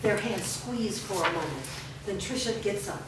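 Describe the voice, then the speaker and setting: A woman's voice: mid-range voice, expressive, moderate pace. A woman reading scripted stage directions as narrator at a staged reading. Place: echoey room at a live staged reading. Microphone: distant microphone. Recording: distant, very echoey, noisy room recording.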